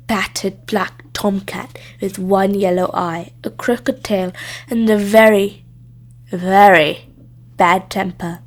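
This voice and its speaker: A woman reading a children's story aloud as expressive scripted narration. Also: medium pitch, creaky. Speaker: storybook reader.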